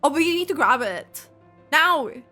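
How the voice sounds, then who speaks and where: A woman giving casual live reactions to a video game, excitable and exclamatory, stretching out words, with game music behind. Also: sits high, normal speed.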